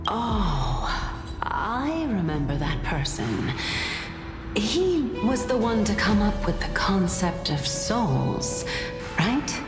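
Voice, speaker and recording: An actress playing an ancient, serenely amused titan spirit in a video game, teasing and probing in languid, formal phrases. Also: female voice, mid-range voice, big pitch swings, takes it slowly. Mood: musing. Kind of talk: dramatic video game voice acting. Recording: long hall reverb with music underneath. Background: game music.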